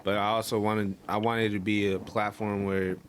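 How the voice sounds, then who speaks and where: A man's voice: on the low side, level tone, moderate pace, slight background noise. A young man chatting casually as a podcast guest, laid-back and full of slang. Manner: laid-back, slangy, says "you know what I mean" a lot.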